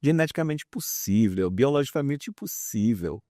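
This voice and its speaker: A man's voice: mid-range voice, animated, moderate pace, mellow, dry recording, clean studio sound. A man, explaining his opinions in an interview with examples and repeated words for emphasis. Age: 50s. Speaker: guest psychologist and author.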